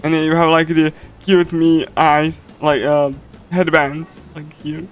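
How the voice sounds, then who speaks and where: Higher-voiced man chatting over game music. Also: flat delivery, clear, resonant tone. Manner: halting, giggly, chatty fan. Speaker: streamer reading a visual novel. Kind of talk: casual livestream chat with loose readthrough. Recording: music playing behind the voice. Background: game soundtrack music.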